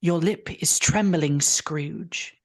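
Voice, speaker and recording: A woman playing a calm, commanding spirit in a radio drama, speaking in a measured, formal and solemn way. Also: low voice, no echo, studio quality. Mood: grave, probing. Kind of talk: old-time radio drama acting.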